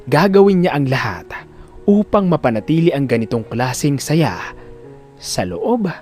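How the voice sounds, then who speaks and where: A man narrating a scripted drama story in an expressive, emphatic storyteller's delivery. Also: mid-range voice, a little slow, faint music behind.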